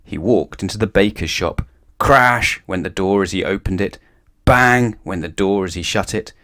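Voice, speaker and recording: A man narrating a children's storybook aloud in an expressive read-aloud style. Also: low voice, moderate pace.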